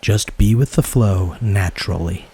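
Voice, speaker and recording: A man guiding a yoga nidra meditation in a soothing, unhurried way, speaking in short phrases. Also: on the low side, dark tone, a little hiss or hum. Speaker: guided meditation teacher.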